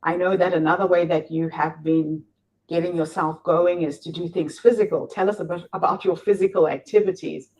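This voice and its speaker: A woman's voice: on the low side, steady tone, fairly quick, a little mellow, poor audio. A woman hosting an online interview, a Shona speaker using English as a second language, who asks careful questions and praises the answers. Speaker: interview host.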